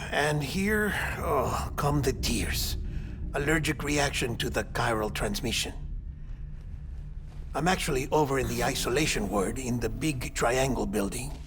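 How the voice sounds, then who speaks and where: A man playing an earnest, apologetic middle-aged government doctor in a cinematic video game, speaking in a hesitant, polite, deliberate way. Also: medium pitch, noisy background, close to an everyday voice. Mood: apologetic, solemn.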